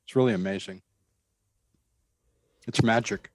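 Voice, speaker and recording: An earnest man with a bass voice telling his story to an interviewer. He is emphatic and repeats phrases as he goes. The recording is dry, with no room sound. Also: steady tone, a little slow, dry recording. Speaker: guest describing a spiritual experience. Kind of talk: conversational storytelling.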